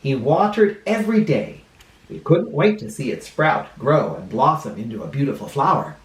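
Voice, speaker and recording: A male teacher reading a picture book aloud to children expressively, pausing dramatically and explaining words in simple terms. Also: mid-range voice, very animated. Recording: roomy, echoing room sound.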